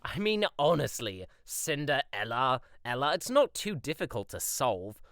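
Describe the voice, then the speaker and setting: An actor playing a pompous, self-assured young prince who talks in theatrical, flowery formal language in an audio drama. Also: male voice, mid-range voice, very animated, unhurried, a bit bright, no room sound, clean studio sound. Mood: smug, amused. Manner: archaic, flowery formal diction.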